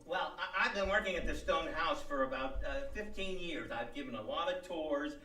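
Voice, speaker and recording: An actor playing a rambling, earnest local historian and reenactor, giving a hesitant mock interview in a comic radio play. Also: male voice, a little high, moderate pace, sharp tone. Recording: echoey live stage, distant mic.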